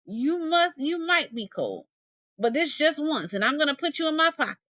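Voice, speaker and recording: A woman voicing a gentle, wary old male possum in an animated folktale, with folksy dialect phrasing. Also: high voice, normal speed, no room sound, voice pushed up, full character voice. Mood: reluctantly kind. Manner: folksy Southern dialect grammar, indignant reasoning.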